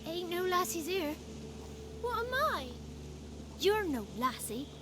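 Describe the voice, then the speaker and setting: Very high-pitched cheeky peasant child. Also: female voice, big pitch swings, slow pace, background noise, heavily acted. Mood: defiant. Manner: rustic, chanting, blunt. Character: cheeky, blunt little peasant child of the swamp. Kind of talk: video game acting.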